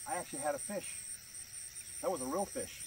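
A man's voice: on the high side, fairly quick. A man giving casual, plainspoken commentary for an outdoor fishing vlog, in a folksy way. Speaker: fishing vlogger. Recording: outdoor recording with clear background noise.

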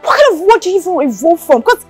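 Appalled woman, scolding, very high and animated voice, screen drama. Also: normal speed, soft background music.

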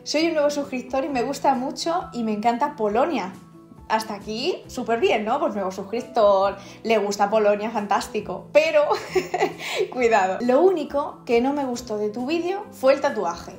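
A young woman with a Castilla-La Mancha accent talks to camera in a high, bright voice, swallowing her s sounds. She chats in an amused way and laughs often. The room gives her voice an echo, and faint music runs underneath. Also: expressive, normal speed. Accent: Castilla-La Mancha Spanish, drops s sounds. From Spain. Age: young adult. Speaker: YouTuber, Spanish teacher. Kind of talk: casual vlog reaction, reading comments aloud. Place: indoor room, wall with photos behind. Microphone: camera at close range.

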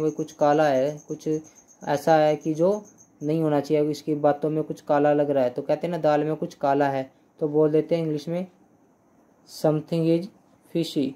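A young man teaching English grammar in Hindi, going slowly through example sentences, repeating them and often checking "clear?". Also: a little high, flat delivery, dark tone. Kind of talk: Hindi-language grammar lesson.

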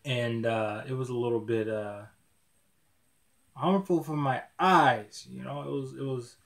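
A man's voice: mid-range voice, a little slow, gravelly. Laid-back young man rambling to a webcam. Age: 20s. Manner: rambling, repeats words often, laid-back slang. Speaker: YouTuber. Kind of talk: casual vlog talk to camera. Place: small dim indoor room.

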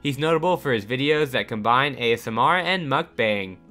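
Upbeat guy reading a top-five list, bouncy animated delivery, light music underneath. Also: mid-range voice, a little fast. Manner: upbeat, lively countdown delivery. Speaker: narrator of a countdown channel. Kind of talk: scripted voice-over narration. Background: soft background music.

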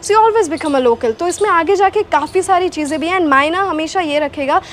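A young Indian woman from Haryana with a very high voice talks through her advice in a casual, explanatory way. She speaks into a clip-on mic in an open garden courtyard, with faint outdoor ambience behind her. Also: normal speed, slight background noise. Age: young adult. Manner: mixes Hindi and English, explanatory, gestures with hands. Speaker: guest, English accent coach. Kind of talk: casual interview answers. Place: outdoor garden courtyard under shade net. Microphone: clip-on lapel mic.

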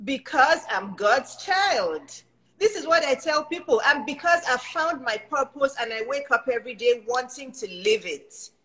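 A Nigerian woman in her fifties hosts a chat over a video call. Her voice sits high, and she talks in an effusive, emphatic way with ready laughter. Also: expressive, a little slow, pushed and bright, low-quality recording. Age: 50s. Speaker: host and life coach. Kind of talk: casual video-call interview. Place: indoors in front of a logo backdrop. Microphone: webcam on a video call.

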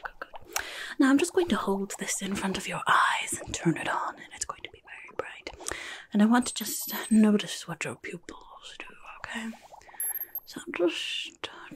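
A woman voicing a rude, impatient goblin clinic helper in a whispery, creaky ASMR roleplay, muttering briskly and sarcastically up close. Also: mid-range voice, lively intonation, close to an everyday voice. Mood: busy, muttering. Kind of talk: ASMR roleplay, chaotic medical exam. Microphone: close-up microphone.

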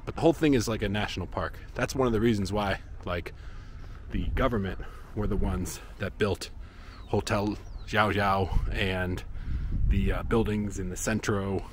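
A man's voice: lowish voice, fairly even intonation, moderate pace, a little hiss or hum. A man in his thirties chatting casually while walking outdoors, filming himself at arm's length for a travel vlog, with lots of fillers. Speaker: travel vlogger. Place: outdoors by a lake and parking lot. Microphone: handheld action camera at arm's length.

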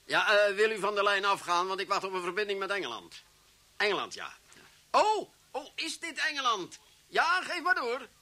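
Casting: impatient Dutch police boss, radio play, high voice, very sharp and forceful. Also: male voice, big pitch swings, exaggerated voice. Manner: blustering, halting, exasperated. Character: gruff, impatient, blustering male Dutch police chief.

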